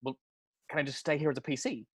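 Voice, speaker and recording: A man's voice: medium pitch, fairly even intonation, quick pace, fairly bright tone, natural voice. A man reading a stiff, earnest young constable. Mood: protesting. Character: earnest, overachieving young male police constable. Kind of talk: table read over video call.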